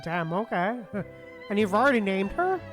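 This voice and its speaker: A woman's voice: medium pitch, sing-song, moderate pace. A woman streaming a game, reading dialogue aloud and reacting in a casual way. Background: game background music.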